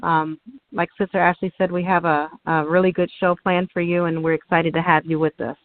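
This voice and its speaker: A woman's voice: lowish voice, flat delivery, brisk. A woman co-hosting a religious women's show, welcoming listeners in a friendly way with some ums. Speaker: co-host. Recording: tinny phone-line audio, distant.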